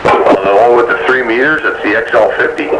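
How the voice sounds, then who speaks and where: A man's voice: medium pitch, fairly quick, very noisy. A man trading casual banter over a two-way radio.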